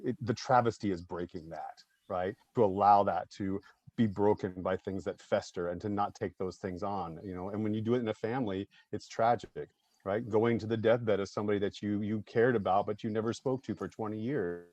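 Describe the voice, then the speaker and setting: Earnest low-voiced man, dry sound. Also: fairly even intonation, fairly quick, darkish tone, no room sound, dull audio. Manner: earnest, emphatic, often ends points with "right?". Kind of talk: reflective panel discussion.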